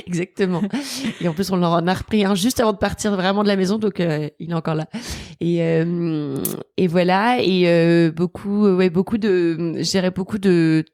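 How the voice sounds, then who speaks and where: A woman's voice: lowish voice, normal speed, a little mellow, dry recording, clean studio sound. A French woman in her thirties, a guest chatting casually in an interview, hesitant with many fillers and frequent laughs. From France. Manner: hesitant, lots of fillers and restarts, laughs often. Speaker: podcast guest.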